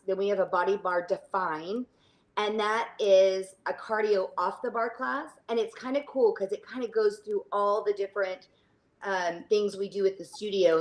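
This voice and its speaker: Woman, middle-aged, studio owner being interviewed. Medium pitch, casual, chatty, stop-and-start delivery that drifts off on tangents. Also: poor audio. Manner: chatty, halting, trails into tangents.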